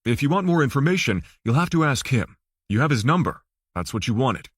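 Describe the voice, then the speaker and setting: A man's voice: medium pitch, big pitch swings, a little fast, slightly rough, no room sound, clean studio sound. A man voicing a guarded, formal male banker who is politely firm, in an audiobook thriller.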